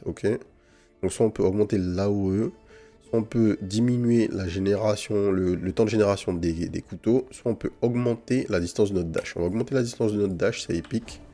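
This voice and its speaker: A man with a low, mellow voice hosts a gaming video. He comments casually on what he plays, using loose slang and English gamer words. Game music plays behind him. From French Guiana. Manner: informal slang sprinkled with English gaming terms. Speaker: gaming channel host. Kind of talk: casual gameplay commentary with first impressions.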